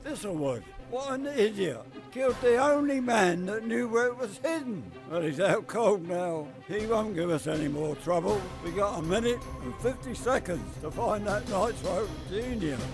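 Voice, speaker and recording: A man's voice: high voice, lively intonation, normal speed, few pauses, over music. An amateur actor playing a cool-headed male secret agent in a radio drama, giving urgent, clipped commands.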